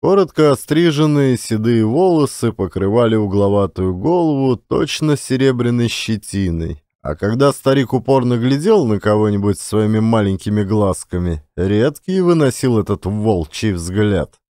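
A man narrating classic literary fiction as an expressive audiobook storyteller. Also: on the low side, moderate pace, few pauses, no room sound, studio quality.

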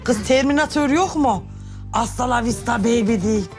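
An actress playing a chatty, gossiping middle-aged auntie in a TV comedy. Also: female voice, on the high side, moderate pace, darkish tone, soft background music, lightly acted. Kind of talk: TV comedy drama acting.

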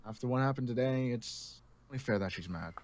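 A man playing a calm, responsible leader of a group of survivors in a zombie game roleplay, speaking in a measured, reassuring way. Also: medium pitch, crowd noise, natural voice. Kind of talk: improvised Minecraft zombie roleplay.

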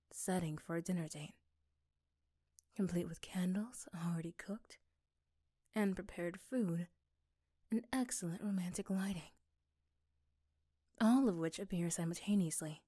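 A woman's voice: fairly low pitch, mellow, dry recording, clean studio sound. A woman reading scripted story narration for an ASMR roleplay.

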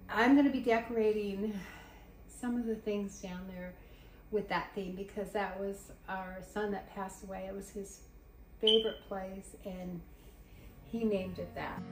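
Female DIY vlogger, mature, conversational and unhurried, echoey room, clear music bed underneath. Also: mid-range voice. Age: middle-aged to older. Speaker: vlogger, DIY cabin builder. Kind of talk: casual vlog chat and narration. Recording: roomy echo, music behind.

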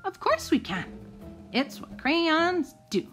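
A woman's voice: extremely high pitch, very animated, slow, a bit bright, soft background music, voice pushed up, exaggerated voice. A woman voicing eager, insistent crayon monsters in a storybook, chirpy and helpful. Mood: curious, eager.

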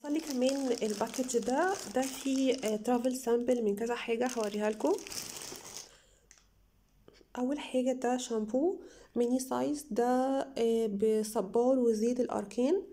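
A young woman with an Egyptian Arabic accent, slightly hoarse from a cold, chatting enthusiastically to viewers as she reviews beauty products at home. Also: a little high, a little fast, long pauses, warm tone, a little hiss or hum. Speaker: beauty vlogger. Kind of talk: casual product haul review.

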